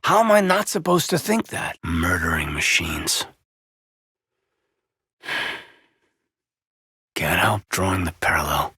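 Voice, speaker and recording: A man playing an earnest, loyal and conflicted space marine officer in a video game, with a husky voice and a sincere military manner. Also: deep voice, sing-song, slow, pauses often, bright, forceful tone, no echo, studio quality, exaggerated voice. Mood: grim, angry. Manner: sincere, military, clipped and intense. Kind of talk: video game voice acting, dramatic dialogue.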